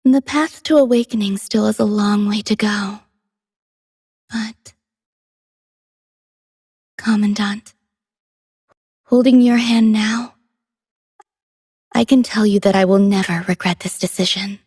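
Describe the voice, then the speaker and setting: A woman voicing a gentle, devoted young woman in a video game, soft, composed and measured in her delivery. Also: mid-range voice, steady tone, husky, no room sound, clean studio sound. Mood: quiet devotion. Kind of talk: scripted game character voice lines.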